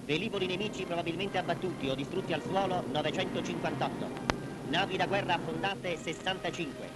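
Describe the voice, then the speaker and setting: A man's voice: sits high, a little fast, very noisy. A man giving formal, declamatory narration for a 1940s wartime propaganda newsreel, in a solemn manner. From Italy. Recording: vintage 1940s newsreel soundtrack, loud music. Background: loud music.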